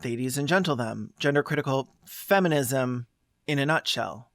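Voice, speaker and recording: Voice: medium pitch, moderate pace, no room sound. Sarcastic video essayist, dry close recording. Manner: sarcastic, scathing, blunt. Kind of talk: video essay commentary mixing scripted narration with asides.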